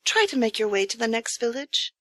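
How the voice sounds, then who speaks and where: A woman's voice: a little high, expressive, brisk, a bit bright, no room sound, clean studio sound. A woman voicing a modest, politely firm wife in an audiobook tale, formal and apologetic. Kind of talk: audiobook character voice.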